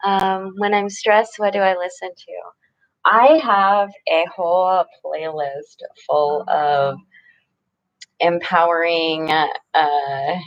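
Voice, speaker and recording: A woman chatting with viewers during a live painting stream, soft-spoken and halting, often dropping to a whisper. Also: on the low side, steady tone, muffled recording. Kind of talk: casual live-stream chat while painting.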